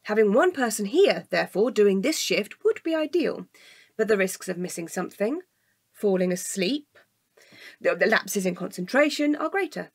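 An actress playing a pedantic, logical, wearily patient woman with a precise, deadpan manner in a comedic audio drama. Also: medium pitch, moderate pace, slightly rough. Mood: lecturing.

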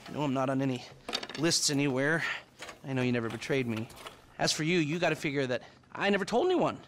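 A man's voice: mid-range voice, expressive, fast, a bit bright, slight background noise, close to an everyday voice. An American actor in a video game playing a sardonic, wordy, foul-mouthed hacker in his forties. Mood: bitter, wry. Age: 40s. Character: bitter, foul-mouthed, nerdy middle-aged male hacker. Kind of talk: video game cutscene acting.

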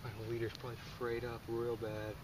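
A young man, excitedly talking to the camera as a fishing vlogger while outdoors on a pond bank, often breathless and laughing. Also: mid-range voice, brisk. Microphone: camera on a tripod some distance away. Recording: distant camera mic with outdoor noise.

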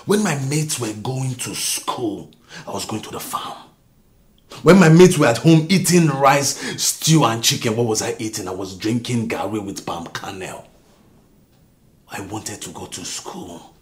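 An actor with a Nigerian accent playing a bitter self-made husband who rants at his wife about his upbringing, swinging from low mutters to shouts. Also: animated, measured pace, close to an everyday voice. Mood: angry. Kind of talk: melodrama acting.

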